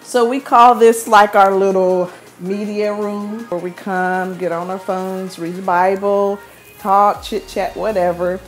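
A woman in her thirties walking through her house, cheerfully showing off the decor. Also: fairly low pitch. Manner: upbeat, gushing over decor. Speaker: vlogger giving a home tour. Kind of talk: casual home tour narration. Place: inside a house, walking room to room.

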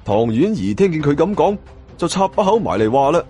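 Male Cantonese audiobook narrator, dramatic delivery with animated, wide-swinging intonation over background music. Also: on the high side, brisk, husky. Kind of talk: dramatic scripted first-person story narration.

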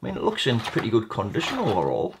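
A man's voice: on the low side, huge pitch swings, fast talker, creaky, noisy background. A man hosting a repair video, casually narrating his hands-on work at a bench, thinking aloud in a tentative way. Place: indoor workbench.

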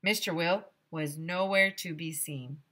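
A woman in her thirties, a teacher reading a children's book aloud in a deliberate, dramatic way on a headset mic. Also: on the low side, fairly bright tone. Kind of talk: expressive children's storybook read-aloud. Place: small room decorated as a classroom.

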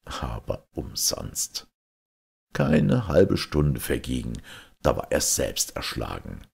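A man's voice: rumbling low, wildly swooping pitch, slow, vocal fry, a little mellow, slightly rough. A man narrating a fairy tale expressively, as an audiobook reader.

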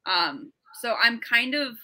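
Very bright, animated woman. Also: fairly high pitch, slow, rough recording. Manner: animated storyteller, swears casually. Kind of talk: casual chat. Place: home room on a video call. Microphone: video call.

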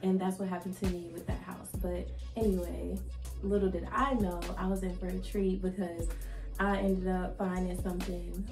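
A young woman vlogging to camera in a casual, chatty way, her voice slightly raspy from a recent cold, with many likes and ums. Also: on the low side, level tone, normal speed. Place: indoor room, plain wall and plant. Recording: echoey room with background music.

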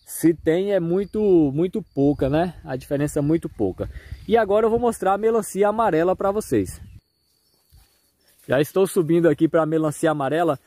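A man's voice: a little high, moderate pace, darkish tone, no echo. A man with a Brazilian accent giving a casual tour of his farm to viewers, recorded outdoors on a handheld phone. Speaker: farmer and video host.

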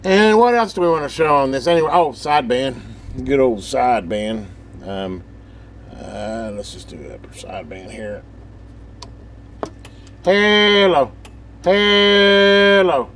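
A man's voice: a little high, animated, takes it slowly, pauses often, a bit bright, a little hiss or hum. A man giving a casual, rambling hands-on radio demonstration, thinking aloud in a folksy, informal way. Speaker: hobbyist presenter demonstrating a CB radio.

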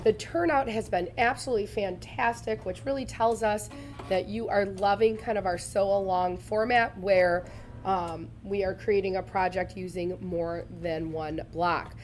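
A woman, slightly raspy from laryngitis, giving a chatty, upbeat live sewing tutorial in a studio. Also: mid-range voice, fairly even intonation, unhurried, darkish tone, faint music behind. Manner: chatty, upbeat, addresses viewers directly. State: recovering from lost voice. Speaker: embroidery demonstrator. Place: studio set with shelves, indoors.

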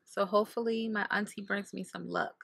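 A woman's voice: mid-range voice, steady tone, brisk, vocal fry, fairly bright tone, breathy edge. A woman in her thirties chatting casually to camera from a car's passenger seat, often correcting herself as she talks, recorded on a camera held at arm's length. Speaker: vlogger. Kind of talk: casual vlog chat to camera. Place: inside a car, passenger seat.

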